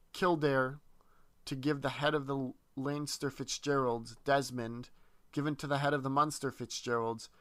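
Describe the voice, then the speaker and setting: A man's voice: medium pitch, fairly bright tone, clean tone, dry recording. Man reading history aloud, dry sound. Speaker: history presenter.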